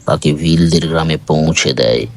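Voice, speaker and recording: A man reading a literary story in a measured, dramatic narrating style. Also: a bass voice.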